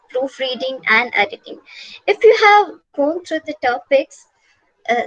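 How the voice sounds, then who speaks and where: A woman's voice: high-pitched, no echo, muffled recording. Patient woman lecturer, dry sound. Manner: patient, repeats points, frequent "uh" fillers. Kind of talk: online class lecture with slides.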